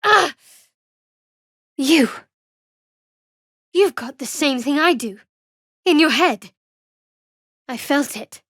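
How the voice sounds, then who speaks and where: An actress playing a guarded, sardonic young cleric of a dark goddess in a fantasy game, with a dry, wry delivery. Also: female voice, sits high, animated, slow pace, pauses often, no room sound, full character voice. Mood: urgent.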